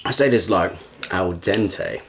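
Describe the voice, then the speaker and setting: A man's voice: low voice, slow, gravelly, noisy background. A young man, chatting casually about his home cooking in a laid-back, slangy, joking way. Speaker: home cook.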